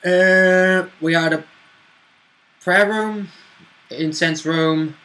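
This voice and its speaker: A man's voice: sits high, steady tone, drawn-out words, sharp tone, poor audio. A man chatting casually as a co-host, recalling a tabletop roleplaying game he played in.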